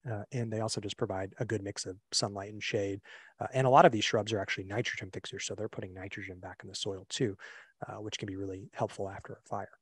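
A young man with a fairly low voice walks listeners through a slideshow in a loose, conversational way, leaning on fillers and restarting his sentences. He is recorded dry and close through a computer mic on a video call. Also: fast talker, breathy edge, no echo. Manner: relaxed, lots of fillers and restarts. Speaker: webinar presenter. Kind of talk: casual slideshow presentation.

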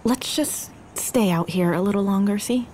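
A woman's voice: mid-range voice, normal speed, mellow, slight background noise, close to an everyday voice. An actress playing a tender, teasing young Mexican American businesswoman in a naturalistic audio romance. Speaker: actor in a scripted podcast romance. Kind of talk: naturalistic audio drama acting.

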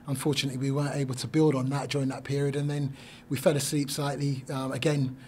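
Older coach, flat measured speech. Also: male voice, medium pitch, a little hiss or hum. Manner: measured, thoughtful, many fillers and restarts. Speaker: head coach. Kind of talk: post-game press conference. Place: small press room. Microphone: desk mic on stand.

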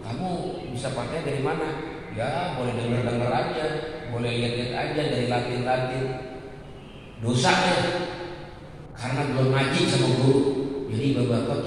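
A middle-aged male Islamic preacher teaches in an insistent, repetitive sermon style, at a medium pitch. His handheld microphone rings through a very echoey mosque, picked up from a distance with faint noise behind. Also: a bit bright. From Indonesia, Betawi region of Jakarta. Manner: emphatic, didactic, repetitive, rhetorical questions. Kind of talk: mosque sermon, religious lesson. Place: large stone-walled mosque indoors. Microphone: handheld microphone through speakers, recorded by far camera.

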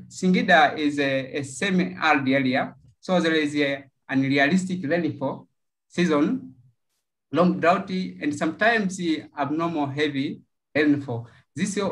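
A man from Tanzania, giving a prepared project pitch over a video call. He speaks haltingly, with many fillers and repeated words. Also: fairly bright tone, poor audio. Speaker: project pitcher from a local NGO.

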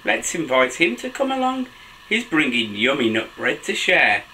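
A man in his thirties reads a children's picture book aloud with an enthusiastic, sing-song delivery. His voice is bright and fairly high, rising and falling widely as he goes. It is a home camera recording in a bedroom. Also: huge pitch swings, normal speed, a little hiss or hum, low-quality recording. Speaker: dad reading children's books for a video channel. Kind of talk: animated storybook read-aloud for children. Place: small bedroom with a bed and soft toys.

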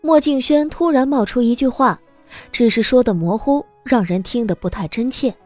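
A woman's voice: on the high side, moderate pace, a little mellow, no echo, faint music behind. A woman narrating a scripted story as the narrator of an audio drama.

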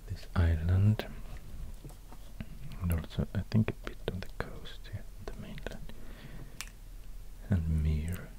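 A man with a Swedish accent, a native Swedish speaker, speaking soft ASMR narration in a halting, musing, breathy near-whisper. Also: deep voice, rough recording. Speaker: ASMR video creator. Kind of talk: soft-spoken ASMR narration while drawing. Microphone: close binaural microphone. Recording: audible noise, soft clicks.

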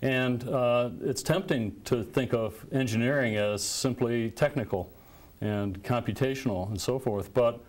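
An older man, a philosophy professor, explaining his views in a measured way on a studio panel. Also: medium pitch, darkish tone. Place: television studio set. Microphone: lapel mic.

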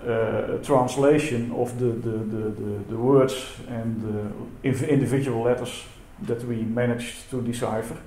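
A man whose first language is Dutch gives a halting conference presentation. His tone is dark and mellow. The sound carries a roomy echo with faint background noise. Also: medium pitch, steady tone. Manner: halting, many repeated words and fillers. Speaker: symposium speaker. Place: lecture hall. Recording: echoey hall with faint noise.

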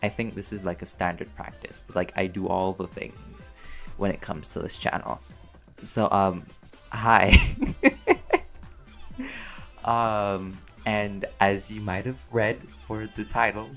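A man's voice: on the low side, fairly even intonation, slow pace, pauses often, fairly bright tone. A man rambling casually through a Q&A, chatty, playful and slangy, often interrupting himself and prone to giggling. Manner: giggly, often breaking into laughter. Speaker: channel creator and voice actor answering fan questions. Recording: music behind the voice.

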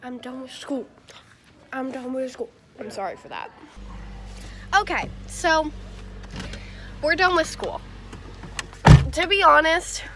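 A bubbly young woman chats straight to her phone camera in a high, bright voice. The phone audio carries constant background noise from the mall and the car. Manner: bubbly, chatty, talks straight to viewers. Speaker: vlogger. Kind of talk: casual vlog to a phone camera. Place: car, bedroom and a busy shopping mall. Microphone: handheld phone camera at arm's length.

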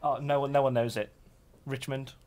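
A man's voice: medium pitch, fairly even intonation, normal speed, a little mellow. A young man in his twenties from North Yorkshire, jokey and a bit awkward, chatting on a date in a cinema. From North Yorkshire, England. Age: 20s. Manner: jokey, laughs a lot, a little awkward. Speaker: dating show contestant. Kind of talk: casual chat. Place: dimly lit cinema auditorium.

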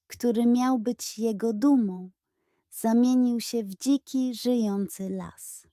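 Slow, high-voiced woman storyteller. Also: warm tone, no room sound, clean studio sound. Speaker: audiobook narrator. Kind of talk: scripted children's story narration.

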